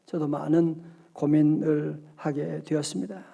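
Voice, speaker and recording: A man's voice: a little high, little pitch movement, a little slow, gravelly, mellow, husky, muffled recording. A middle-aged male pastor preaching a sermon, telling a story and acting out its dialogue in quotes.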